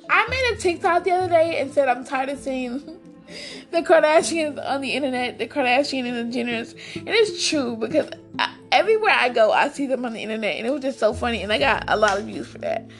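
A woman with a raspy, hoarse voice hosting a casual solo podcast, chatty and enthusiastic, often calling her listeners "guys". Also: high-pitched, expressive, fairly quick, faint music behind. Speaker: podcast host.

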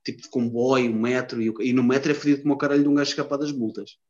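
Um homem de Lisboa, com sotaque lisboeta, numa conversa descontraída, cheia de calão e palavrões e a divagar. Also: male voice, medium pitch, flat delivery, rapid-fire, darkish tone. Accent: Lisbon Portuguese. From Lisbon, Portugal. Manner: slangy, swears a lot, rambling. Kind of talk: casual chat. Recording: dull lo-fi sound.

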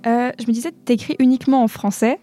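Quick, chatty woman host, a bit high, mellow tone, enthusiastic interviewer. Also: fairly even intonation, soft background music. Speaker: radio interviewer. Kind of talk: casual interview.

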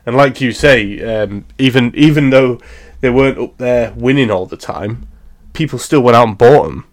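A man's voice: mid-range voice, moderate pace. A man in his early thirties co-hosting a casual chat, rambling with many fillers and laughing at his own jokes. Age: 30s. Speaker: podcast co-host.

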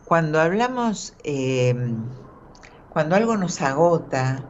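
Low-fi Argentine woman hosting call-in radio. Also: animated, takes it slowly, a little hiss or hum. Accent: Argentine Spanish. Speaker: radio host and tarot reader. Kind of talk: live call-in advice chat. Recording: dull, band-limited audio.

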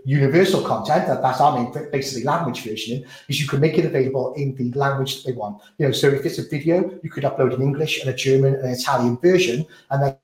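A man giving a software product demo, talking quickly and filling his sentences with "you know". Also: mid-range voice, echoey room, rough recording. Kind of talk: screen-share product walkthrough.

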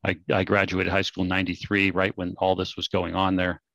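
A man's voice: low voice, completely flat delivery, a little fast, vocal fry, a bit bright, no room sound, highs cut off. A man in his forties from California, hosting a podcast and explaining things in a casual, conversational way. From California, United States. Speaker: podcast host.

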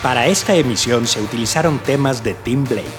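A man's voice: mid-range voice, brisk, music in the background. A man announcing the close of a radio serial in a theatrical scripted style. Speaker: closing announcer.

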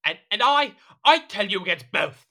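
Jealous, petulant monarch in audio drama: indignant, theatrical, very forceful tone, swooping intonation. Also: male voice, sits high, sing-song, moderate pace, vocal fry, exaggerated voice. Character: petulant, jealous, suspicious French king. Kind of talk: audio drama period acting.

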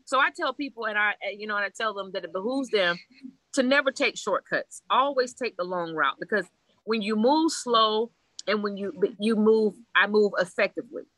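A woman's voice: mid-range voice, moderate pace, fairly bright tone, dry recording, poor audio. A woman from Haiti, a priestess, talking bluntly and animatedly in a casual conversation, laughing often and swearing freely. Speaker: Ifa priestess guest.

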